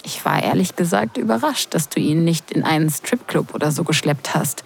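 A woman acting in an intimate audio drama as a teasing wedding guest who is drawn in, breathy and hushed. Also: low-pitched, expressive, heavily acted. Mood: teasing, grudging respect. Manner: breathy, hushed, slow and sensual delivery.

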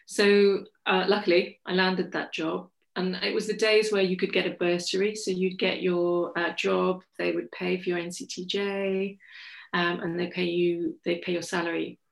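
A woman from England, a journalist telling her story in a forthright, lively interview. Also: on the low side, vocal fry. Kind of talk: candid conversational storytelling. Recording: echoey room.

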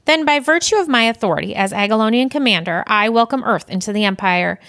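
A woman's voice: a little high, lively intonation, fast talker, a bit bright, dry recording. A woman playing a stern, exasperated alien empire commander in an audio drama, speaking formally with dry, curt delivery. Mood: formal, officious.

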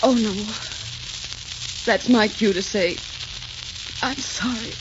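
A dull, hissy vintage radio recording presents a spirited young captive lady. Her voice is high, and she speaks properly and curtly in 1940s romantic drama style. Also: slow pace. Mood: subdued, contrite. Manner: curt, proper, indignant. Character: defiant, spirited young woman held captive in desert.

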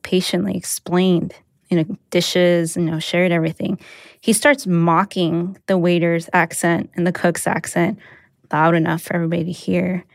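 A young adult woman, raised speaking Mandarin first, telling a personal story in a candid, reflective way with soft laughs. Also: on the low side, no room sound, studio quality. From United States. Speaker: interviewee, newsletter writer.

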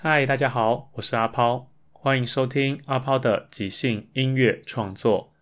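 A man's voice: mid-range voice, fairly even intonation, unhurried. A man with a Taiwanese Mandarin accent, talking casually as a solo podcast host.

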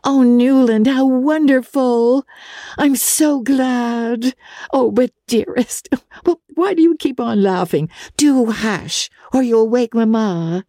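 A woman voicing an anxious, excitable younger sister in a storybook reading, breathless, exclamatory and fussing. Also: fairly high pitch, slow, dry recording, studio quality, pitched above the performer's own voice. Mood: overjoyed, fussing.

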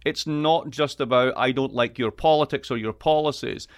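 Wry Scottish man, quick asides. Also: mid-range voice. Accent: east coast Scottish. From Scotland. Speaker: guest, economist. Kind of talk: lively podcast interview.

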